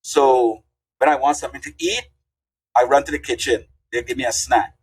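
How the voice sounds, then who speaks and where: A man's voice: mid-range voice, moderate pace, slightly rough, poor audio. An older man, telling folksy stories as a podcast guest. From Guyana. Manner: animated, folksy storyteller. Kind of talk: casual interview storytelling.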